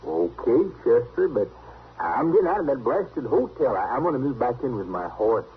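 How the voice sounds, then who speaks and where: A man playing a simple, earnest, stubborn backwoodsman with a rural American accent and halting, folksy speech in an old-time radio western. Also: mid-range voice, big pitch swings, slightly rough. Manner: halting, plain-spoken, folksy. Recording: tinny, hissy old radio recording.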